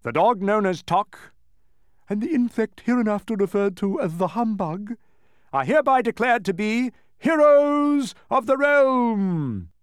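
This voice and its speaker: A man's voice: high-pitched, animated, measured pace, clear, resonant tone, dry recording, studio quality, pitched above the performer's own voice. A man voicing a pompous royal minister in a storybook, proclaiming in a grand, ceremonious way.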